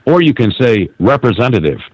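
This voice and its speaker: A man's voice: mid-range voice, big pitch swings, fairly quick, no echo. A man reading scripted menu prompts and hold messages as an automated announcer over a telephone line. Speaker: automated phone system announcer.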